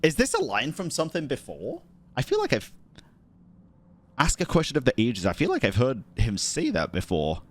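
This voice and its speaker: Animated young man commenting on a game. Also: medium pitch, normal speed. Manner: slangy, swearing, reacting out loud. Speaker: game streamer. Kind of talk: casual playthrough commentary.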